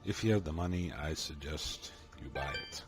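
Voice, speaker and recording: A young man with a deep voice giving easygoing commentary while playing a video game, sounding flat even when enthusiastic. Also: normal speed. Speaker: let's play gamer. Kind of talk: casual gameplay commentary. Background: loud game music.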